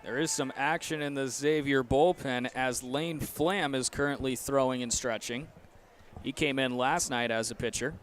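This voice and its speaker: A man's voice: mid-range voice, moderate pace, a bit bright. A young American man doing live sports play-by-play commentary. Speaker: play-by-play announcer. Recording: outdoor ambient noise behind commentary. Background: ballpark or arena ambience.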